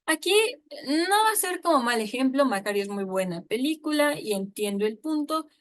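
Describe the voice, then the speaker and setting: A woman's voice: high voice, expressive, moderate pace. A woman giving an informal lecture on storytelling, chatty and full of asides and fillers. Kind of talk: informal online talk on storytelling.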